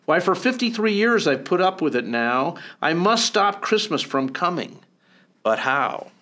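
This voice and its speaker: Sneering grumpy Grinch creature with a storybook bite, slightly high voice; a man doing the voice. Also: big pitch swings, a little slow, pushed and bright, full character voice. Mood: scheming. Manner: snarling, sneering.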